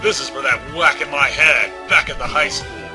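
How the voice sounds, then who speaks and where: A man voicing an aggressive, vengeful young monster trainer in an audiobook, shouting forcefully. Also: medium pitch, moderate pace, music in the background, natural voice.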